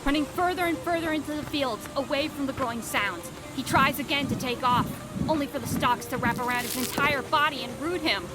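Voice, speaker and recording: A woman's voice: high voice, fairly quick, natural voice, poor audio. A woman narrating a spooky fantasy audio drama as a dramatic, scripted storyteller. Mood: suspenseful. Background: music behind the voice.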